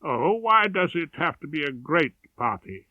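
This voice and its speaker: A man's voice: a little high, big pitch swings, a little slow, pushed and bright, no echo, full character voice. A man voicing a gossipy, eager barrister who is easily puzzled, in an exclamatory audiobook style. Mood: doubtful. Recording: narrow, radio-like audiobook sound.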